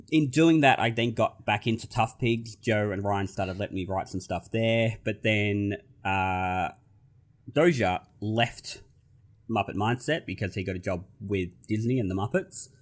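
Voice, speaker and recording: A man in his thirties from Australia with a nasal Australian accent, chatting casually as a podcast guest over a video call. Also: fairly low pitch. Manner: self-deprecating, chatty. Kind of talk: casual chat.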